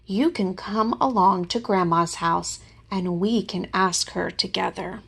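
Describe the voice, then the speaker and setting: A woman's voice: medium pitch, measured pace, creaky, husky. A woman playing a kind, sensible young boy who gives friendly, instructive advice in a storybook.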